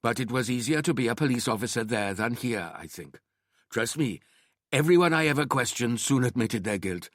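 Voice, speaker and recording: A man's voice: mid-range voice, animated, normal speed, dry recording, natural voice. An elderly Indian shopkeeper, acted out by a male audiobook narrator, courteous and proud of himself. He talks at length in an Indian accent, eager to help, in a dry recording. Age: 60s. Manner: deferential, talkative, proud. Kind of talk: audiobook character acting.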